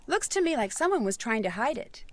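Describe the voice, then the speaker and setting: A woman's voice: high voice, very animated, brisk. An actress playing an inquisitive, composed young female detective in a video game. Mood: curious, probing.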